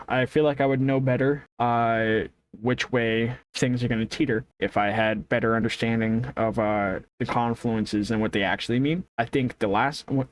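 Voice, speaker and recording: A man with a mid-pitched voice talks to himself in an audio log, with some ambient noise behind him. He is hesitant and casual, wandering through his thoughts, rephrasing and trailing off in slangy, unpolished language. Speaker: vlogger recording an audio journal. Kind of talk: rambling thinking-aloud monologue.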